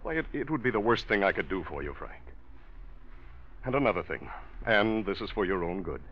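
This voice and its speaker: A man's voice: fairly low pitch, lively intonation, a little fast, slight background noise, close to an everyday voice. An actor playing a stern older businessman uncle who lectures formally and righteously, in 1940s radio drama style. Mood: stern, firm. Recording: dull old radio recording.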